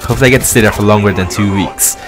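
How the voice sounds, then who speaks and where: A young man around twenty speaks in a low-pitched voice with an accent that blends London English and American. He talks casually, in the style of a personal gaming commentary. Music plays clearly behind him. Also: rapid-fire. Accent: London English mixed with American. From London, England. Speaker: gaming video creator.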